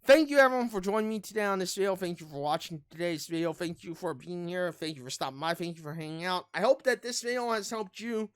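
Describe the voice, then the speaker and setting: A man's voice: high-pitched, fast, hardly any pauses, smooth, no room sound, studio quality. A man giving casual video commentary over gameplay, rambling and often repeating his phrases. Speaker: gaming video creator. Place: room at home. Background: background music.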